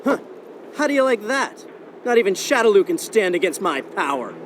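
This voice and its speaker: An actor playing a cocky, hot-headed young martial arts fighter in a dubbed game cutscene, brash and slangy. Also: male voice, sits high, big pitch swings, normal speed, background noise. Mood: cocky, boastful. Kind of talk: English dub of video game cutscene. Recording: loud music behind.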